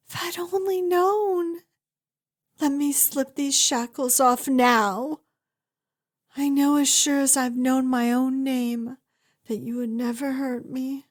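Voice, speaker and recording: Fantasy huntress, played by a woman: high voice, slow delivery, tender and earnest, intimate ASMR roleplay. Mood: anguished, remorseful, then tender, amused. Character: fierce but tender young huntress reunited with her lost friend.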